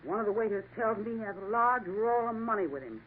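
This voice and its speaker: A woman's voice: mid-range voice, animated, fast, natural voice. An actress playing a brusque, bossy woman running a café in a 1950s radio drama, curt and commanding. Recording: tinny old radio broadcast, faint hiss.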